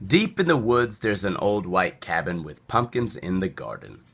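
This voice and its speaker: A man reading a children's story aloud in a theatrical, deliberate narrating style. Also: sits low, big pitch swings, creaky, a bit bright. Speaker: storybook reader.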